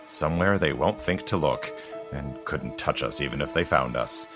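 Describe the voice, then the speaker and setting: Man acting Jesse, a deep, intense, guarded gentleman. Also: music in the background. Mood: urgent, protective. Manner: stern, intense, dramatic pauses. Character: Jesse, guarded, urgent gentleman with secret knowledge, protective. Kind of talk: audio drama acting.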